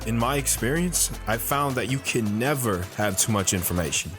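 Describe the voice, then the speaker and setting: A man's voice: mid-range voice, lively intonation, moderate pace, music in the background, close to an everyday voice. An actor playing an earnest, sombre retired military man, reflectively telling his life story in an audio drama. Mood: tender, reflective. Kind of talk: audio drama narration and dialogue.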